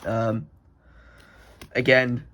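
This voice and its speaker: A man's voice: mid-range voice, little pitch movement, extremely slow, fairly bright tone. A young man with a head cold that makes him sound nasal, rambling casually through his collection with lots of filler words. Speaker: card collector vlogger. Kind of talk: casual rambling show-and-tell.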